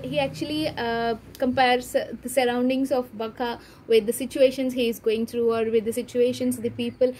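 A woman's voice: high voice, a little mellow, a little hiss or hum, low-quality recording. A young woman giving a casual book review to camera, thinking aloud hesitantly in a room at home. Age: young adult. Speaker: book vlogger. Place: small room at home, indoors.

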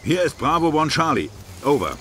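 An actor playing a weary, dry-humored middle-aged army sergeant in a video game, curt and commanding. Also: male voice, fairly high pitch, sing-song, fairly quick, noisy background. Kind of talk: military video game dialogue.

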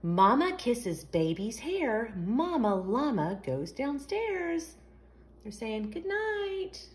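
Female storytime host for young kids, lively swooping delivery at an unhurried pace, sing-song read-aloud. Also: fairly high pitch, slight background noise. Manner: sing-song, asks the young listeners questions. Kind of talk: expressive read-aloud of a picture book for young children.

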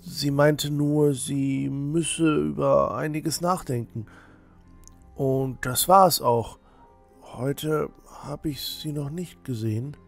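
A man's voice: a little high, steady tone, takes it slowly, vocal fry, soft background music. A man playing an uneasy, awkward teenage temple boy in a fantasy audio drama, hesitant and evasive. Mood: nervous, evasive.

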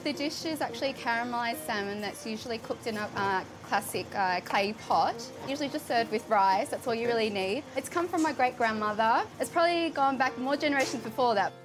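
A woman's voice: fairly high pitch, lively intonation, brisk, hardly any pauses, music in the background. A woman in her thirties with an Australian accent, explaining a family recipe casually as she cooks, with lots of fillers. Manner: chatty, with frequent fillers. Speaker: cooking show contestant. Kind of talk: casual explanation while cooking. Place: home kitchen indoors, later a restaurant kitchen.

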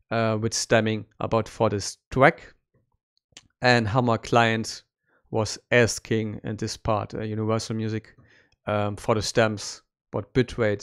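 A man in his thirties speaks English with a clear German accent, chatting loosely on a live stream. He talks in a relaxed, unpolished way with small slips. His voice is close and direct, picked up by a studio mic in a small home studio. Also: on the low side. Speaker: composer streaming his work. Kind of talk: casual live-stream talk. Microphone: studio mic on a stand, headphones on.

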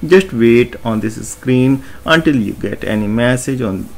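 A man talking through a screen-recorded website tutorial in an unhurried, explanatory way, with many fillers and restarts. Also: medium pitch, gravelly. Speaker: tutorial narrator.

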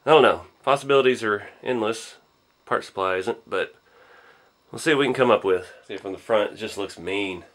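A man, a little hoarse from a cold, rambling casually through a model build in his garage workshop with lots of ums. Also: fairly low pitch, moderate pace, fairly bright tone. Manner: laid-back, rambling, lots of ums and I don't knows. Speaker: RC hobby channel host.

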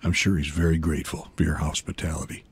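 An actor playing a gruff, weary middle-aged detective in a video game cutscene. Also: male voice, very deep voice, level tone, quick pace, full character voice. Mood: dry, easygoing. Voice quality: husky, gravelly.

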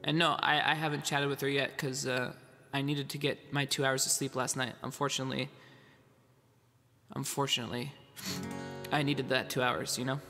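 A man's voice: medium pitch, level tone, moderate pace, bright, forceful tone. Stuffed-up man chatting on a livestream. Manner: loose, rambling, talks straight to chat. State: stuffed up with a cold. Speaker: music livestreamer. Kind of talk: casual livestream chat. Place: dim home room. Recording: added reverb over guitar music.

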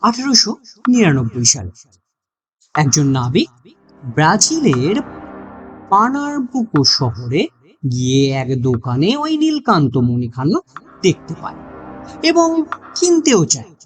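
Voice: medium pitch, wildly swooping pitch, takes it slowly, long pauses, soft background music. A narrator reading a scripted horror mystery story in a dramatic storytelling manner.

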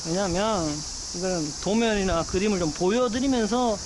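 A man's voice: sits high, normal speed. A man with a regional Korean dialect talks casually while working on a repair as an amateur host, rambling and often apologizing and downplaying his skill. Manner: apologetic, self-deprecating, rambling with broken-off sentences. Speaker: amateur motorcycle mechanic and video host. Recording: very noisy, loud insect chirping.

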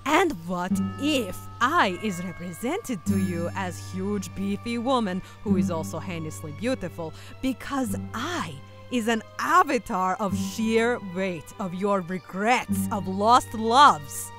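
A woman's voice: mid-range voice, expressive, slow pace, few pauses. A woman voicing a big, beefy cartoon lady who talks in a theatrical, teasing way with broken, foreign-style grammar. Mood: teasing, dramatic. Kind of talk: cartoon dub voice acting. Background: background music.

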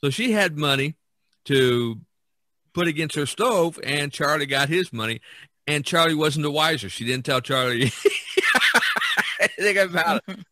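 A man hosting a podcast, telling stories casually and enthusiastically, with a halting delivery. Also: fairly high pitch, huge pitch swings, sharp tone, no echo. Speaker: podcast host. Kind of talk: casual conversational storytelling.

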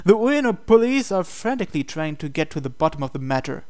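A man's voice: a little high, very animated, fast, a little mellow, clean tone. A man reading a visual novel aloud as dramatic narration.

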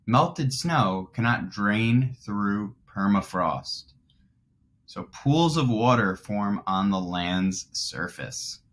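A man in his twenties, a teacher reading aloud to young children and explaining as he goes, deliberately stressing key words. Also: lowish voice. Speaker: first grade teacher.

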